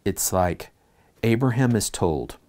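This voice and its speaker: A man with a low, mellow voice leads a church Bible class. He reads the passages aloud and talks them through earnestly, stopping to put questions to the class. He speaks in a teaching tone. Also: expressive, slow pace.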